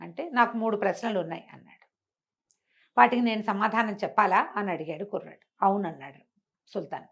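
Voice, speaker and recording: A woman's voice: mid-range voice, brisk, fairly bright tone, muffled recording. A woman telling a moral tale expressively, explaining through dialogue and rhetorical questions. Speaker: storyteller. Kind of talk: animated oral storytelling of a moral fable, acting out dialogue.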